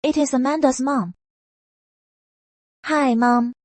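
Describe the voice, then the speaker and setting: A young actress playing a calm young woman with a teasing manner. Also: high voice, takes it slowly, no room sound.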